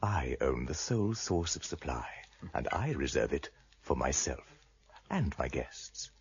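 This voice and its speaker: An actor playing a shrewd, courtly blind trader in a radio drama, husky and gravelly, speaking in a measured, formal, wry way. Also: male voice, extremely low pitch, very animated, dull audio, exaggerated voice. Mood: wry, proud.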